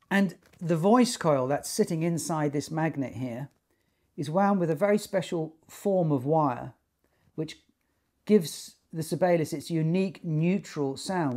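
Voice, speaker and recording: A man in his sixties giving an informal explanatory talk to camera, speaking in measured, deliberate phrases with pauses. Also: on the high side, warm tone. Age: 60s. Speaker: loudspeaker designer and company technical director. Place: small attic listening room indoors.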